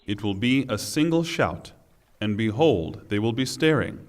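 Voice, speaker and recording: A man reading a scripture translation in a formal, solemn and deliberate way. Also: on the low side, lively intonation, long reverb tail. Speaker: reader of Quran translation.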